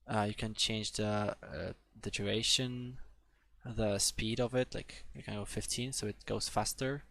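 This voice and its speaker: A man's voice: lowish voice, steady tone, a little slow, creaky, sharp tone, no echo. A young man with a Polish accent, giving a live coding tutorial in a hesitant, filler-heavy way with frequent restarts. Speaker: coding instructor.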